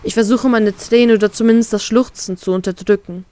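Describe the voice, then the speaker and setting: A woman reading her own fan fiction aloud in an expressive, emotional way. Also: fairly high pitch, brisk, dry recording. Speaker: fan fiction author and reader.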